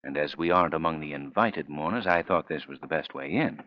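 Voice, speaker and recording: An actor playing a confident, suave amateur sleuth in a 1930s radio mystery, with brisk, clipped delivery. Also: male voice, rumbling low, no room sound. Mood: hushed, wry. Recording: muffled, noisy 1930s radio recording.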